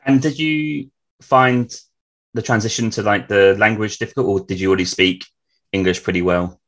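A man hosting a podcast, chatting casually and asking relaxed follow-up questions. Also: on the low side, moderate pace. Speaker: podcast host. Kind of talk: casual interview chat.

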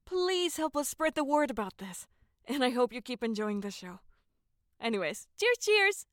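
A woman's voice: high-pitched, sing-song, moderate pace, a bit bright, no room sound. A woman making a chatty, informal announcement as a podcast creator, close to the mic.

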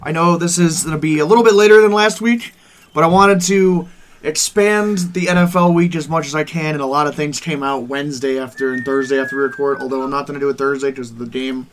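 A man's voice: fairly high pitch, fairly even intonation, fast, slight background noise. A man hosting a casual sports podcast with friends, loose and swearing, laughing often. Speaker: podcast host.

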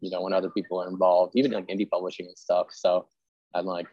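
A man's voice: low-pitched, flat delivery, quick pace, dry recording, highs cut off. A man hosting a podcast interview in an informal, rambling, self-deprecating chat style. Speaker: podcast host interviewing an author.

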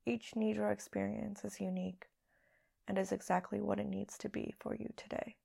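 Woman guiding meditation, very creaky voice. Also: medium pitch, flat delivery. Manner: slow, hushed, soothing delivery with long pauses. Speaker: podcast host and yoga nidra guide. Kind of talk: guided meditation.

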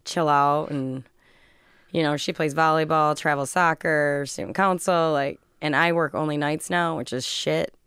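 A woman's voice: deep voice, fairly even intonation, measured pace, fairly bright tone, smooth, no echo, clean studio sound. A woman in her forties talking candidly as a podcast guest, blunt and frank and swearing freely. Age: 40s. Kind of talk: candid casual conversation.

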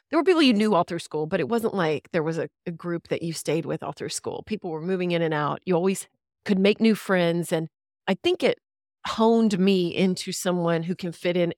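Brief: woman, 50s, from Central Texas, lowish voice, dry close sound, reflective and chatty guest. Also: darkish tone, no room sound. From Central Texas, USA. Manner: reflective, chatty, with easy laughs. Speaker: podcast guest, radio veteran. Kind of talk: casual interview storytelling.

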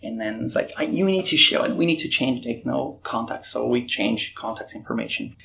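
Earnest Brazilian-accented man with gravelly edge. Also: medium pitch, a little fast, bright, forceful tone, slight background noise. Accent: Brazilian Portuguese. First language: Portuguese. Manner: earnest, retells dialogue with frequent restarts. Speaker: missionary guest. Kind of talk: storytelling testimony.

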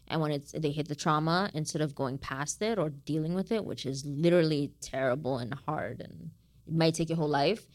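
A woman with a low-pitched voice talks as a guest in a casual, reflective conversation. She sounds thoughtful and informal, slipping easily into slang. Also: brisk. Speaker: guest author. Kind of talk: casual reflective interview talk.

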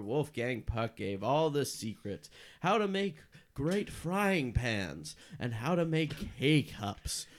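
A man hosting a comedy podcast, telling a tongue-in-cheek story and running the plugs. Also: medium pitch. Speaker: podcast host.

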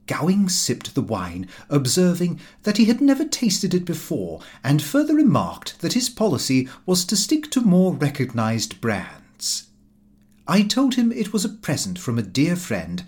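A man narrating a comic diary novel as an audiobook reader, in a prim, fussy, self-important manner. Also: mid-range voice.

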